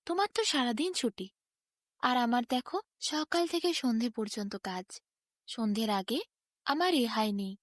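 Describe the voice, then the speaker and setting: A woman's voice: on the high side, animated, moderate pace, no echo, studio quality, close to an everyday voice. A woman voicing an envious, overworked ox who complains plaintively about his lot in a children's storybook tale. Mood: plaintive envy.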